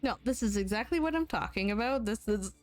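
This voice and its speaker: A woman's voice: on the high side, animated, a little fast, faint music behind. Playful young woman hosting an interview. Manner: playful, teasing, laughs often. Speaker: interview host. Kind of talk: casual interview chat.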